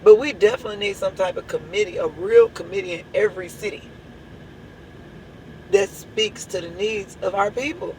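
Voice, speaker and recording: Hoarse woman preaching. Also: medium pitch, steady tone, normal speed, darkish tone, slight background noise, rough recording. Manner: emphatic, repeats rhetorical questions. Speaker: church leader. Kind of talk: impassioned church address.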